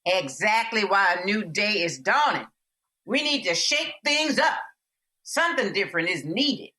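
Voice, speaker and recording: Fierce, animated, brash Anger woman acting. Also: medium pitch, unhurried. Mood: fiery urging. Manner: brash, taunting, intense. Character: Anger, fierce, brash, rebellious female emotion personified. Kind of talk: audio drama acting.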